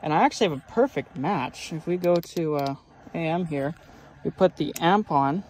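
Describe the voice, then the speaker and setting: A man's voice: on the high side, normal speed, a little mellow, low-quality recording. A man giving a casual, explanatory walkthrough as a vlogger showing off his gear. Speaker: vlogger showing his vehicle radio setup. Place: outdoors by a truck, then inside it. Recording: clear background noise, vehicle ambience.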